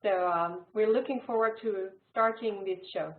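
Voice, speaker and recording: A woman's voice: medium pitch, normal speed. A woman co-hosting a radio show, chatting lightly and laughing. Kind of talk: casual chat. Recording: echoey tinny phone-line audio.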